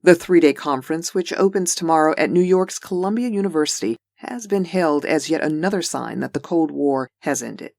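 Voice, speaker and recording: A woman's voice: sits low, fairly quick, gravelly, few pauses, darkish tone, dry recording, studio quality. A woman playing a composed television news anchor, reading in a formal scripted style.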